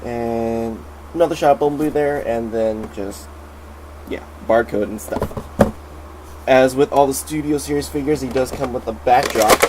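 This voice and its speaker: A man with a cold and a nasal voice, chatting excitedly through a casual toy review at home. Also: medium pitch, normal speed, very noisy. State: sick, nasal.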